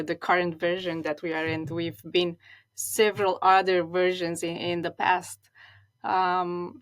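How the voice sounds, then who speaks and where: A woman in her thirties from Romania, a non-native speaker whose first language is Romanian, talking reflectively as an interview guest with frequent restarts and repeated words. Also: lowish voice. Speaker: guest, stylist.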